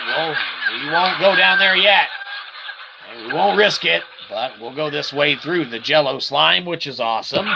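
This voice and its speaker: A man plays a video game and comments casually as he goes, in a slightly high voice with a lot of fry. He takes his time, dropping goofy catchphrases and mock-formal asides. Loud noise runs behind him. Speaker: video game streamer, reviewer. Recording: very noisy, game sound behind.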